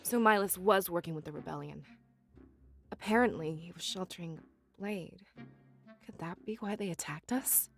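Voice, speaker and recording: Doubtful fantasy game heroine, urgent, mid-pitched voice, music playing under her. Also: moderate pace, gravelly, pauses often. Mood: suspicious. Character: doubtful, urgent female adventurer in a fantasy tale. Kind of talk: video game voice acting.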